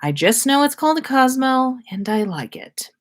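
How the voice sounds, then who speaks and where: A woman's voice: high voice, sing-song, moderate pace, voice pushed up. A woman voicing an awkward, easily flustered, tipsy young man in a story read aloud. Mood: sheepish. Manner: sheepish, muttering asides.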